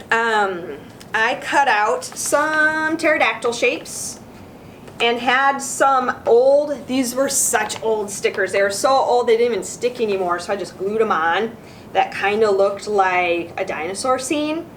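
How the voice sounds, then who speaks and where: A woman with a fairly high voice leads a casual craft demonstration for children. She talks in an informal, encouraging way as she explains each step. Noticeable background noise with a low rumble sits behind her voice. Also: a bit bright. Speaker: children's librarian hosting a craft session.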